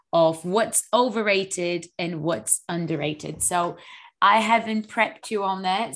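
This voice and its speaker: A woman co-hosting a fitness podcast in casual chat, sounding a little fluey and telling stories in an animated way. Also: on the low side. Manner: chatty, animated storytelling. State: a little hoarse with flu. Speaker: podcast co-host; online fitness coach.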